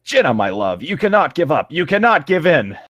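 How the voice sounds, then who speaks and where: Man putting on earnest heroic lover, melodramatic, raised pitch, fantasy story reading. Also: very animated, brisk, fairly bright tone, no room sound. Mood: earnest urging. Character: earnest, tender male hero urging his love onward.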